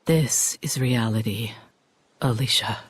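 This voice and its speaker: A cold, low-toned villainous mentor. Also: female voice, a little hiss or hum. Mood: weary contempt. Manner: condescending, measured, formal. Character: stern, cold female mentor turned villainous betrayer. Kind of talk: dramatic video game acting. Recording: orchestral music behind the voice.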